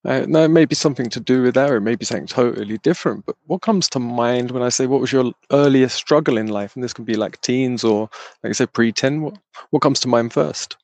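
Creaky-voiced male podcast interviewer. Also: medium pitch, fast, darkish tone, no room sound. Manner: loose, rephrasing questions as he goes. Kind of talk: casual conversational interviewing.

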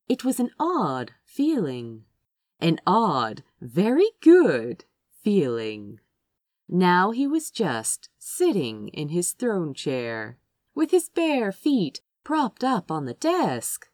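A woman narrates a story in a lowish voice that swoops widely in pitch. She takes her time, shaping each phrase with dramatic care and leaning on key words like an expressive storybook reader. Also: dry recording, clean studio sound. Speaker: fan fiction reader, narrator.

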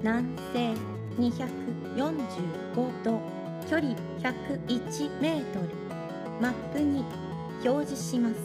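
An actress playing a polite, emotionless assistant machine in a Japanese audio drama, giving measured, formal announcements. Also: female voice, sits high. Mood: neutral, procedural. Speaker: voice actor. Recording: music behind the voice.

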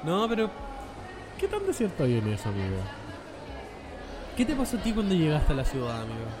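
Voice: mid-range voice, wildly swooping pitch, a little fast. A Chilean host chatting casually, teasing and joking with slang. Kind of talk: casual chat. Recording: music and crowd behind.

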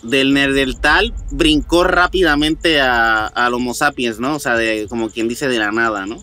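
A man's voice: medium pitch, measured pace, sharp tone. A man hosting a podcast, reading reports aloud and chatting informally with frequent question tags. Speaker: podcast host.

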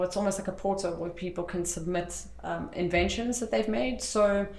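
A woman's voice: lowish voice, gravelly. A South African woman explaining her work in a casual interview, talking in a loose, rambling way with many fillers and restarts. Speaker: interview guest, tech entrepreneur. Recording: echoey room.

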